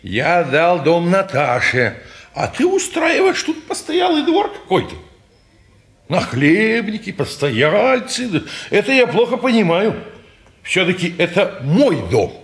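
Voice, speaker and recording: An actor playing a pompous, grumbling old householder in a Russian stage drama, halting and condescending. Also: male voice, sits high, wildly swooping pitch, full character voice. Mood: grumbling, displeased. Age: older.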